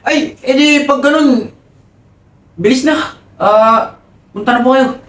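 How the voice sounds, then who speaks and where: A man's voice: extremely high pitch, takes it slowly, a bit bright, roomy sound, exaggerated voice. A man playing a pompous, abusive Spanish colonial army lieutenant in a boastful, demanding theatrical monologue. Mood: fawning.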